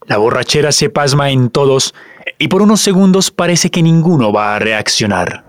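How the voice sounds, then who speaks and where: A man's voice: medium pitch, lively intonation, moderate pace, dry recording, studio quality. A man narrating a tragic story as a dramatic, scripted tale. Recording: loud music under the voice.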